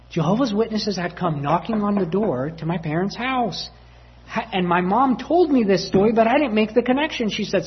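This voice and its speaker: A man's voice: sits high, dull audio. A man preaching a sermon as a story, acting out family dialogue with voices and laughter.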